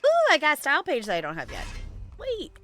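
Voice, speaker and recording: Chatty female streamer, fairly high and bright, casual gameplay commentary, stop-start delivery. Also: huge pitch swings, fast talker, soft background music. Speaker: video game live streamer. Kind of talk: casual livestream chat over gameplay.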